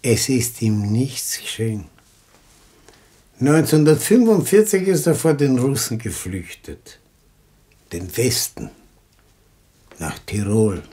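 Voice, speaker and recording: An actor playing a plain-spoken, evasive neighbour in a German radio play, talking in a matter-of-fact, colloquial way. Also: male voice, mid-range voice, very animated, takes it slowly, long pauses, warm tone, slightly rough, exaggerated voice. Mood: matter-of-fact, uneasy.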